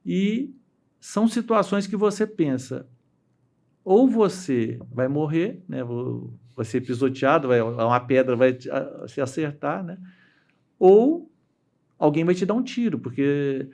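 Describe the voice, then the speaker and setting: Halting middle-aged Brazilian man telling stories. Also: a little high, animated, vocal fry, darkish tone. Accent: Brazilian Portuguese. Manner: halting, with many restarts and fillers; acts out quoted voices while telling stories. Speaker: interview guest, dam engineer. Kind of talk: casual storytelling interview.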